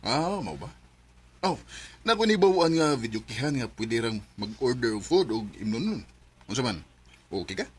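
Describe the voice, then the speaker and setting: A slightly husky male coworker talks with lively rises and falls, chummy and easygoing. He belongs to a radio drama, at an ordinary middle pitch. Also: moderate pace. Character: friendly male coworker and pal.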